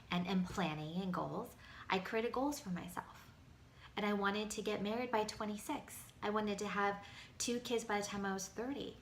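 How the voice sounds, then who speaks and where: A woman's voice: mid-range voice, a bit bright. American woman, motivational speaker, middle-aged, mid-range voice, personal storytelling delivery; echoey recording with slight background noise. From United States, Pennsylvania.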